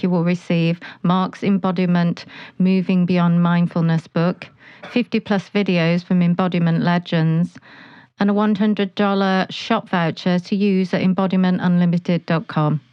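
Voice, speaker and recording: A woman reading a scripted podcast introduction in an even, measured way. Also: fairly low pitch, clear, resonant tone, no room sound. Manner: even, read-from-script delivery. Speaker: episode announcer. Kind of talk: scripted announcement. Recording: dry, slightly dull recording.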